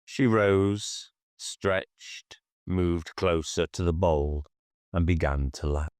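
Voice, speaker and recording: Deep, slow male audiobook narrator. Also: darkish tone, clean tone, no echo, clean studio sound. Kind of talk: scripted horror fiction narration.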